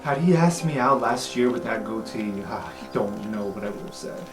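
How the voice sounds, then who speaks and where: A man's voice: mid-range voice, lively intonation, normal speed, close to an everyday voice. Breezy, gossipy student, voiced by a man; wry, casual teasing, roomy recording over music. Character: breezy, sardonic, gossipy student named Aurora. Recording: roomy sound, music underneath.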